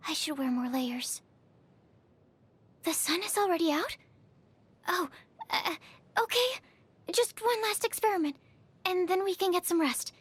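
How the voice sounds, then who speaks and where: A woman's voice: very high voice, animated, measured pace, pauses often, dry recording. A woman voicing a shy, bookish young female alchemist in a video game, speaking in a hesitant, polite and earnest way. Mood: earnest curiosity. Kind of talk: English video game character voice acting.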